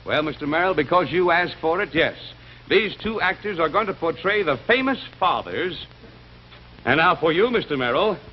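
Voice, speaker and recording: A man's voice: on the high side, lively intonation, moderate pace. A man with an American accent presenting as host of a 1950s television show, on an old broadcast recording. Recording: dull 1950s TV broadcast audio, music behind.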